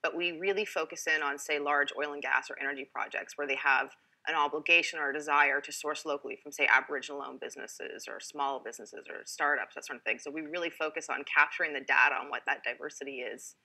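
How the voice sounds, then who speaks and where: A woman's voice: low voice, fairly even intonation, fast talker, bright, forceful tone. A woman from Canada, a company founder, speaking earnestly on a panel and restarting her phrases often. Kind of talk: panel discussion.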